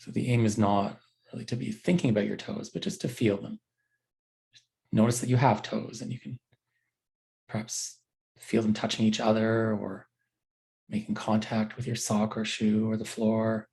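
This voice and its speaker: A man teaching a guided body scan meditation, giving gentle, unhurried instructions with frequent pauses. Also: fairly low pitch, little pitch movement, gravelly.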